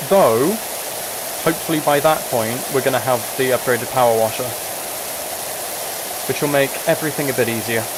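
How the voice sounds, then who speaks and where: A man's voice: mid-range voice, normal speed, pauses often, background noise. A man live streaming a video game, chatting casually and thinking aloud in a rambling way. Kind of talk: casual chat while playing a video game.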